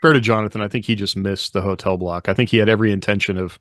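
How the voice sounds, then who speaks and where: Low-voiced man chatting, close dry sound. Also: steady tone, very fast, fairly bright tone, no echo. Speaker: podcast co-host. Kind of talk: casual chat.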